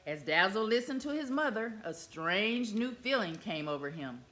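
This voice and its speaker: A woman storyteller reads a children's picture book aloud, expressive and animated. Her voice is bright and her pitch swings widely. Faint music plays softly underneath. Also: moderate pace.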